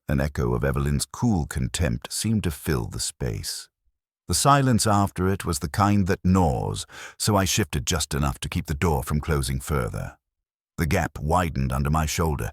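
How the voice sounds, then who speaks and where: A man narrates a detective story in a very deep voice with lively, swooping intonation. His delivery is measured and deliberate, with a husky grain to it. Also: no room sound, clean studio sound. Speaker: audiobook narrator. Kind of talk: scripted first-person detective story narration.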